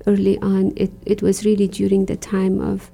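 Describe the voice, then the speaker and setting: Gravelly, dark-voiced woman from Iraq. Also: mid-range voice, completely flat delivery, normal speed. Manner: reflective, earnest, many fillers like "you know". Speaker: religious sister, guest. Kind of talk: conversational interview.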